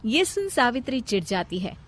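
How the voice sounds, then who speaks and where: Slightly high-voiced female storyteller. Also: very animated, normal speed, dry recording. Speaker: story narrator. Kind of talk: scripted moral-story narration.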